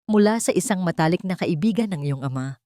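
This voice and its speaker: Lady voicing a stern mystery guy, insistent, low-ish and dry. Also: lively intonation, fairly quick, mellow, dry recording, natural voice. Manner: firm, insistent. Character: mysterious grave male messenger, terse.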